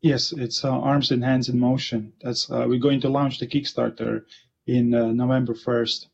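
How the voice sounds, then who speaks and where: A man in his forties with a Latvian accent, his voice gravelly and breathy. He talks haltingly and fills gaps with plenty of hesitations. The sound comes through a dull, muffled video call. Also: medium pitch, little pitch movement, darkish tone. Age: 40s. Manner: halting, many fillers and restarts. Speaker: guest author. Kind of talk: casual interview.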